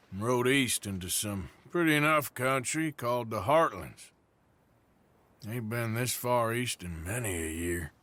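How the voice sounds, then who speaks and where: A man's voice: fairly low pitch, bright, forceful tone. An actor with an American accent playing a rugged, laconic outlaw gunman in a Western video game, with a dry manner.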